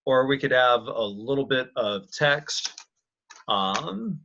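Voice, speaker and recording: A man leads a casual online work meeting at a slow pace, thinking aloud and halting mid-sentence. His voice has an average pitch. The conference-call audio is dull and lo-fi. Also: lively intonation, sharp tone, slight background noise. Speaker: meeting leader presenting slides.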